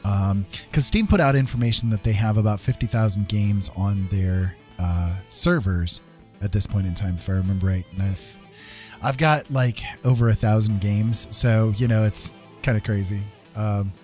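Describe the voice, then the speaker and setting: A middle-aged man with a fairly low voice talks casually on a livestream, with music clearly playing behind him. He rambles in a chatty way, often hesitating as he addresses his viewers. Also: normal speed, darkish tone. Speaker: livestreamer and digital artist.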